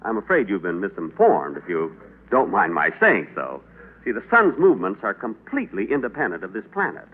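A man's voice: lowish voice, wildly swooping pitch, fairly quick, husky, phone-quality sound, full character voice. An actor playing a mild, bumbling male angel with dry, politely smug wit in a 1940s radio play. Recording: scratchy old radio broadcast.

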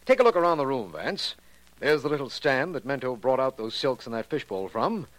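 A man plays a detective's genial, doubting companion in a 1940s radio mystery. His voice sits at a medium pitch, and he speaks in a wry, well-bred way. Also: very animated, fairly quick, dry recording, natural voice, low-quality recording. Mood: grave, alert.

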